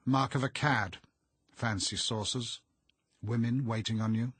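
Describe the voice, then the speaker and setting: A man's voice: medium pitch, fairly even intonation, a little slow, fairly bright tone, breathy edge, no echo, pitched above the performer's own voice. A man voicing a smug, blustering, hearty middle-aged schoolmaster who talks in clipped, boastful slang for an audiobook. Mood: firm, blustering.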